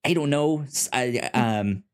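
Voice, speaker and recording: An American man co-hosting a review podcast, talking casually in a halting, rambling way with stammered starts. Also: mid-range voice. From United States. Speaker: podcast co-host reviewing audio fiction.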